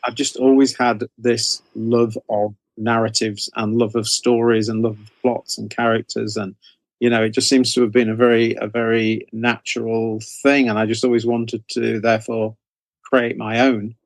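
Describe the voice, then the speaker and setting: A man's voice: medium pitch, little pitch movement, normal speed, no echo. A middle-aged British man telling stories about himself as an interview guest, in a casual, modest, rambling conversational way. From United Kingdom.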